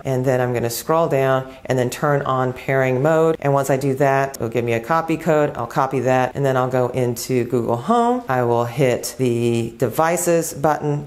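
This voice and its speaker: A woman with a strikingly deep voice for her sex walks through a product demo one step at a time. She speaks plainly and instructively, as a presenter of tech tutorials. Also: darkish tone.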